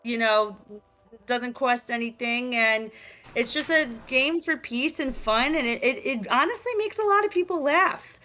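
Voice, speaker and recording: A woman's voice: sits high, a little slow, faint music behind. A young woman from New York with a New York accent, talking casually as a guest about her game, streetwise and full of fillers. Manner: energetic, streetwise, many fillers like "like" and "you know". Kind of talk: casual interview chat. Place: virtual talk show, remote.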